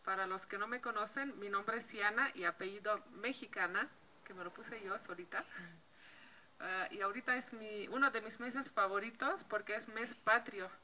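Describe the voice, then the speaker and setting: A woman's voice: mid-range voice, normal speed, bright, forceful tone, a little hiss or hum, rough recording. A woman in her thirties, a native Slovene speaker with a Slovenian accent, chatting casually to camera outdoors with a handheld mic, restarting words and laughing lightly. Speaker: vlogger. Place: outdoor patio by a block wall.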